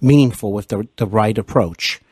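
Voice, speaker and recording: A man hosting a conversational podcast interview, attentive and complimentary, with measured phrasing. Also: fairly low pitch, darkish tone, breathy edge, no room sound, studio quality. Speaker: interview host.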